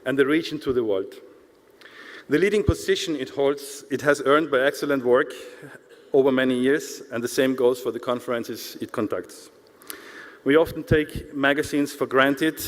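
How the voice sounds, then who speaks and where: A man from Austria gives a formal, prepared speech in a medium-pitched voice. His words ring out with long reverb in a large hall, like a director addressing an industry event. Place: large indoor event hall, speaking to an audience.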